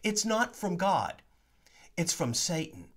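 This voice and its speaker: A man's voice: high-pitched, very animated, slow, a bit bright. A man in his forties, a priest giving an earnest, emphatic sermon-style teaching to a webcam in his home study. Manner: earnest, emphatic preaching with rising stresses.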